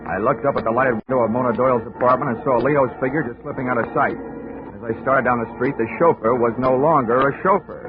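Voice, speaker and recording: An actor playing a wisecracking hard-boiled insurance detective who narrates and speaks in a dry, sardonic way, in 1940s radio drama style. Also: male voice, medium pitch, fast, lightly acted. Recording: tinny old radio broadcast with music.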